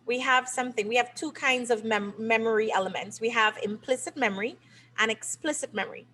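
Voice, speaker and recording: A woman's voice: on the high side, a bit bright. A middle-aged woman from Trinidad and Tobago, a teacher and game creator, explaining her work in an interview. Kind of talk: interview answers.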